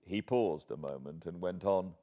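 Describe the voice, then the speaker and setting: A man's voice: low voice, normal speed, dark tone, muffled recording. A man with a British accent reading a classic novel aloud as an audiobook narrator, in a dramatic literary style. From Britain.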